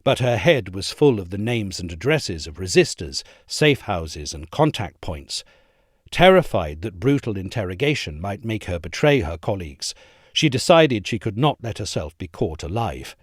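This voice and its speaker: Man, audiobook-style essay narration, expressive storytelling, dry close recording. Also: fairly low pitch, slightly rough, no room sound. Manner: measured, expressive storytelling read. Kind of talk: scripted narration.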